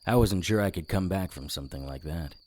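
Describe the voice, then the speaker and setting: A man playing a sardonic occult investigator, narrating a noir audio drama in the first person with a wry, deadpan manner. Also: deep voice, brisk, close to an everyday voice. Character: hard-boiled, sardonic male occult investigator. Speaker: narrator and lead character.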